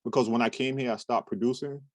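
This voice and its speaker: A man in his fifties chats in a loose, meandering way, stopping often to search for words before carrying on. His voice sits at an ordinary pitch for a man. The recording is dry and close, with no room sound. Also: fast, no room sound. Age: 50s. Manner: rambling, relaxed storytelling with many fillers and restarts. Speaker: talent manager and guest. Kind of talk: casual interview chat.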